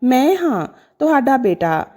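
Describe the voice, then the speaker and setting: A woman voicing a patient, devoted grown son in a story, caring and protective, gentle but firm. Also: high-pitched, sing-song, unhurried, exaggerated voice. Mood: gentle, reassuring.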